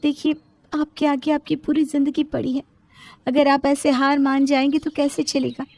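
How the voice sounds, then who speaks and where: A woman's voice: sits high, little pitch movement, moderate pace, a little mellow, no room sound. A woman acting a pleading, caring sister-in-law. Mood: alarmed, pleading. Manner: pleading, respectful, reasoning. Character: caring young sister-in-law, sympathetic and persistent.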